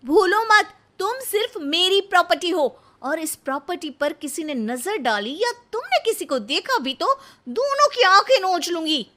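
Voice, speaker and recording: A woman's voice: very high-pitched, very animated, a little slow, few pauses, sharp tone, pitched above the performer's own voice, exaggerated voice. A woman voicing a jealous, possessive young wife in a cartoon, feisty and sulky, with a nagging, dramatic way of talking. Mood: jealous anger.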